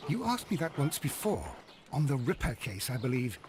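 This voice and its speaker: An actor playing a detective's sceptical English companion in a video game, with a dry, questioning manner. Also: male voice, medium pitch, sing-song, moderate pace, noisy background, lightly acted. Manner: dry, questioning, gently exasperated. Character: gentleman detective's sceptical, wry male companion. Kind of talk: scripted video game dialogue.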